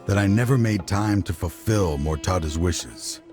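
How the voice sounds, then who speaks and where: An actor playing a dying older mentor who speaks in a slow, solemn, remorseful way. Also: male voice, deep voice, music in the background, natural voice. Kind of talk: game cutscene voice acting. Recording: game score playing under voice.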